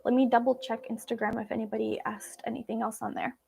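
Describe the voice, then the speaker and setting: Woman, high voice, native Russian speaker, from Belarus, chatty, informal, live vlog host. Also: little pitch movement, quick pace, vocal fry, warm tone, slight background noise. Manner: chatty, informal, addressing viewers directly. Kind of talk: casual live Q&A chat.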